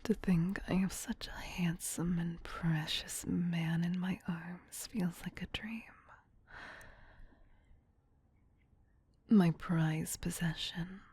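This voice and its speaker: A woman voicing a tender, possessive vampire queen in a soft, breathy, soothing ASMR whisper. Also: fairly low pitch, fairly even intonation, exaggerated voice. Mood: tender, adoring. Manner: slow, doting, soothing.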